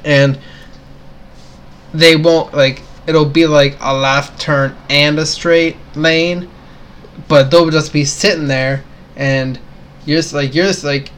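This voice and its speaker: A man's voice: on the high side, fairly even intonation, slow pace, pauses often, a bit bright, smooth. A young man co-hosting a casual chat, rambling with plenty of fillers and restarts. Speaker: co-host.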